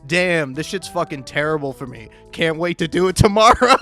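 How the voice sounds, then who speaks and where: A man's voice: on the high side, animated, moderate pace, sharp tone, soft background music. A man giving casual, meandering gameplay commentary, swearing freely and poking fun at himself as he chuckles along. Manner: sweary, self-deprecating, meandering; chuckles often while talking. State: high on drugs. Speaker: video game streamer.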